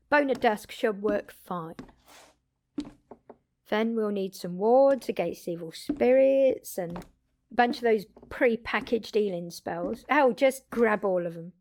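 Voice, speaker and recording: A woman's voice: mid-range voice, a little mellow, a little hiss or hum. Woman playing a casual, wry vampire mentor. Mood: brisk, upbeat. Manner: chatty, informal explaining with occasional swearing. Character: centuries-wise female vampire, casual, confident, wry mentor. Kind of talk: intimate audio roleplay acting, addressing one listener.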